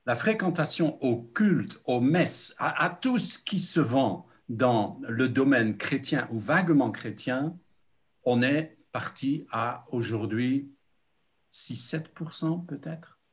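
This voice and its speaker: A man's voice: mid-range voice, lively intonation. An older man preaching a sermon as a pastor, earnest and reflective, with frequent hesitations. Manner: slow, deliberate, with frequent hesitations.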